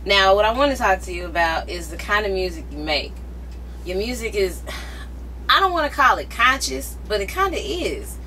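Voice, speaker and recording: A bubbly woman hosts the interview with a bright, sharp edge to her voice, teasing her guest and gushing as a fan. Faint background noise from the tour bus sits behind her. She keeps it a casual, playful chat. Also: mid-range voice, sing-song, moderate pace. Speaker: interviewer, radio host.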